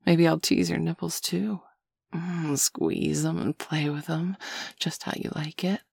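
A woman performing a whispered audio roleplay with a dominant, teasing manner that drifts between soft speech and breathy whispers. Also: deep voice, fairly even intonation, unhurried, no echo.

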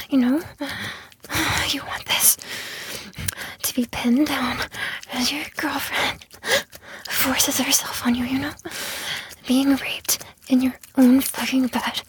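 A high-pitched woman whispers slowly and breathily, with sighs between her phrases. Her delivery is intimate and coaxing, shifting between commanding and soothing. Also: fairly bright tone, rough recording. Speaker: audio roleplay performer.

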